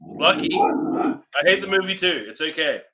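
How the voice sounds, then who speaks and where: A man with a slightly high voice that moves up and down in a lively way. He speaks as a co-host on a review show. His style is casual and conversational. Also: moderate pace, a loud crowd.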